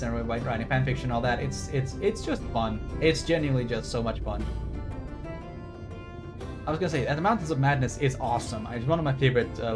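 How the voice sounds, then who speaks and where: Rambling male streamer over background music. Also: mid-range voice. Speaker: livestreamer. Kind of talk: game stream commentary, reading on-screen text aloud and chatting.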